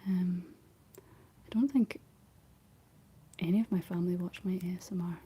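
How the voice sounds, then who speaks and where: A young Scottish woman speaking in a soft, breathy whisper, chatting casually and gently in an ASMR style. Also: fairly low pitch, moderate pace. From Scotland. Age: young adult. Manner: gentle, rambling chat while painting. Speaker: ASMR streamer reading and answering live chat. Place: living room.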